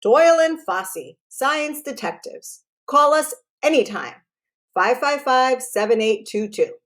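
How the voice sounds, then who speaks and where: A woman's voice: sits high, lively intonation, unhurried, voice pushed up. A woman voicing a confident fifth-grade boy science detective in a storybook, speaking in an earnest, professional manner. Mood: businesslike. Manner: professional, self-serious.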